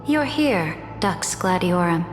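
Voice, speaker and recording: An actress playing a cold, ruthless empress in a video game, with a stately, commanding manner. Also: female voice, on the low side, animated, slow, soft background music. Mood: cold resolve. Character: cold, ruthless imperious female ruler. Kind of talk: dramatic game voice acting. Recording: echoey hall, faint ambient noise.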